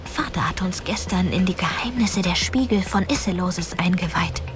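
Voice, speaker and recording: A woman's voice: lowish voice, moderate pace, natural voice. An actress playing a young trainee heroine confiding her diary in a German fantasy radio drama, wistful and dry. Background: music.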